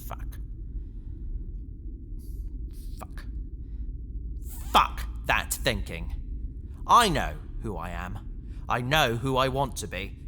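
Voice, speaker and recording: Determined, kindly spaceman for sci-fi audio drama: mid-pitched forward voice, sincere, slightly awkward conversational delivery. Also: sing-song, slow, long pauses, pushed and bright, a little hiss or hum, exaggerated voice. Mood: defiant, resolute. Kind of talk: science fiction audio drama acting.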